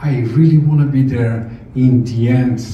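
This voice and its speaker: A man's voice: medium pitch, measured pace, highs cut off. Bulgarian-accented man in an echoey hall. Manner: animated, gesturing, laughing storyteller. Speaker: eulogy speaker, missionary. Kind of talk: memorial speech. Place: large event hall, indoors. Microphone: handheld mic through room speakers. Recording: far-off echoey hall, faint noise.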